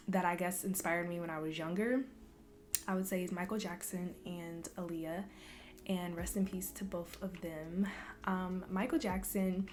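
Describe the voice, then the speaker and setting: A young woman from Louisville, Kentucky, chatting casually in a Q&A vlog, soft-spoken and relaxed with frequent ums. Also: lowish voice. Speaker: vlogger. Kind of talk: casual Q&A chat.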